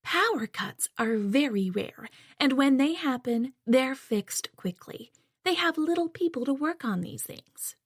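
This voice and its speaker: A woman's voice: high-pitched, expressive, unhurried, husky, no room sound, studio quality, pitched above the performer's own voice, heavily acted. A woman voicing a haughty, snobbish middle-aged mother who barks orders. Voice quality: haughty, barking.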